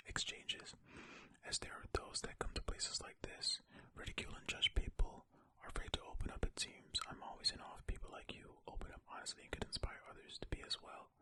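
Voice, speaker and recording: An ASMR host speaking in a breathy, unvoiced whisper, reading comments aloud and musing on them with casual asides.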